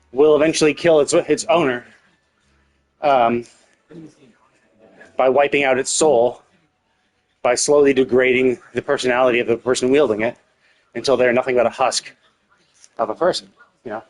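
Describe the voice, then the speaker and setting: A man's voice: medium pitch, steady tone, normal speed, pauses often. A man livestreaming casual commentary on a game's story, thinking aloud in a rambling, opinionated way. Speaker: live streamer.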